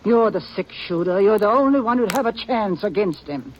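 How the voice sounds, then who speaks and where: An actress playing a stern elderly frontier grandmother in a 1950s radio drama, speaking plain rural talk in a grim, steady way over faint hiss. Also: medium pitch, normal speed, dull audio, lightly acted. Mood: bitter. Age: older. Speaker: grandmother of a young gunman.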